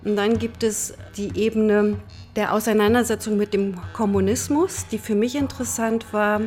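A woman's voice: mid-range voice, fairly even intonation, moderate pace, darkish tone, faint music behind. A woman from East Germany, a radio drama director, talking reflectively in an interview.

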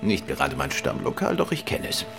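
An actor playing a courteous, urbane gentleman detective with a composed manner in a radio crime drama. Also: fairly low pitch, wildly swooping pitch, fairly quick, creaky, over music, full character voice. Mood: composed, inquiring. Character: suave, courteous male gentleman amateur detective.

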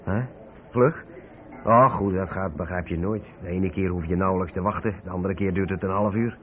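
On a thin, band-limited old radio recording, a steady older lorry driver acted by a man speaks in a fairly low voice. He is cautious and fatherly, in the manner of a radio drama. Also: normal speed, phone-quality sound, lightly acted. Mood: calm, admonishing. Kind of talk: Dutch radio play acting.

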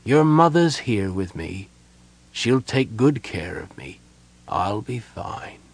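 A man voicing a big, gentle old innkeeper, fatherly and frail, speaking softly and haltingly with a breathy, earnest delivery. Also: fairly low pitch, animated. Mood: weak, confused.

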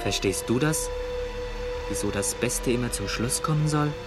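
A man's voice: medium pitch, moderate pace, over loud music, lightly acted. A man playing a whimsical, imaginative teenage brother who playfully mocks adults in a 1970s German radio play. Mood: teasing.

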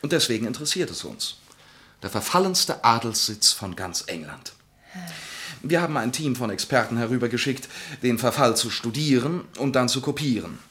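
Actor as breezy, boastful society chatterer, swooping voice. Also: male voice, mid-range voice, lively intonation, moderate pace, a bit bright, close to an everyday voice. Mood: self-important. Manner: chatty, exuberant, laughing, name-dropping. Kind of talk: German radio play acting.

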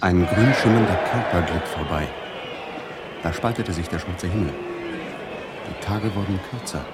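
A low male actor's voice of an explorer in an experimental seventies radio play, listing strange swampy sights like an incantation. The old production is thick with background noise. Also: moderate pace, a loud crowd, lightly acted. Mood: absorbed, descriptive. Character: male explorer recounting a surreal, swampy jungle expedition. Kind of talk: experimental 1970s radio drama narration.

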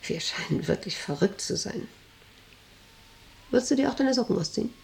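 Bossy lady factory director, radio drama, creaky voice, rambles on, talks down then snaps orders. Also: mid-range voice, animated, moderate pace, a little mellow. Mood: commanding, restless. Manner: condescending, probing, digressive, abrupt commands. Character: domineering, rambling, uneasily intimate female factory director. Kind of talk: German radio drama acting.

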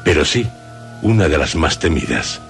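A man hosting a radio show with dramatic scripted narration, phrased deliberately and theatrically with suspenseful pauses. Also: very deep voice, sing-song, gravelly. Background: music.

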